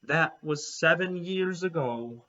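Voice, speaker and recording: A man's voice: fairly high pitch, slow pace, sharp tone, pitched above the performer's own voice. A man voicing a homesick pilgrim woman who talks in a blunt, old-fashioned, matter-of-fact way, reading a children's storybook aloud. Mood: wistful. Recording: slightly dull lo-fi recording.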